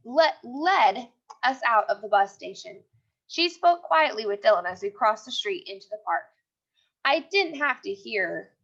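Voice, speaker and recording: Female teacher, bright high voice, instructional read-aloud delivery with repeated phrasing, somewhat dull online-class sound. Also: gravelly. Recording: dull lo-fi audio.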